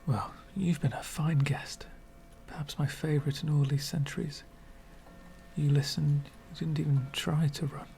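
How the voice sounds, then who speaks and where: A man playing a lonely, courteous, centuries-old vampire in an ASMR roleplay, speaking formally and wistfully in soft, breathy whispers. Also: fairly high pitch, little pitch movement, slight background noise. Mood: gracious, wistful. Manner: formal, wistful, slow and deliberate.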